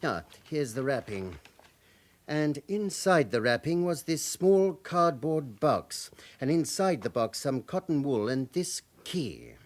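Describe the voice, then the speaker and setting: An actor playing a formal, careful hospital doctor in a radio drama, speaking precisely and in a measured way. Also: male voice, fairly high pitch, sing-song.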